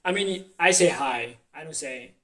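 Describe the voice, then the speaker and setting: A man from Lebanon chatting casually and relaxed, swearing now and then. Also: fairly high pitch, moderate pace. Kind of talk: casual chat. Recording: distant from mic.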